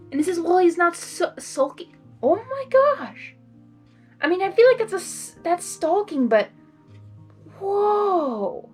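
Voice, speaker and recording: A woman reading a story aloud as a host, with an excitable, gushing, expressive delivery. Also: high voice, slightly rough. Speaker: fan fiction reader and channel host.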